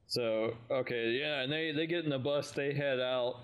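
A man's voice: mid-range voice, moderate pace, smooth. A man co-hosting a fan podcast, casually recapping an audio drama in an informal, slightly hesitant way, thinking aloud as he goes.

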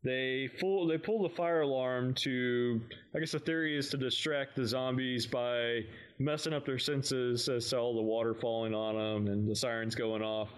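A man's voice: medium pitch, steady tone, normal speed, clean tone. A man co-hosting a fan podcast, recapping in casual chat with an amused manner. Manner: amused, chuckles often while recapping. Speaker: podcast co-host.

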